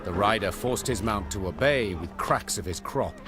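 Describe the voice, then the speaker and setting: A man playing a solemn chronicler, narrating a fantasy video game in a grave, deliberate storybook manner. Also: on the low side, over music, natural voice. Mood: solemn, epic. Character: solemn male chronicler of a war saga.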